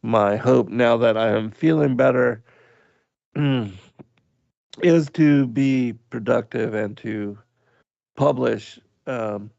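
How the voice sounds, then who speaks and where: A man hosting a radio livestream, hoarse, with his voice going out, talking slowly and haltingly with many pauses while he reads from the chat. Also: mid-range voice, a little mellow, dry recording, muffled recording. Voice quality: gravelly. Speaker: radio show host.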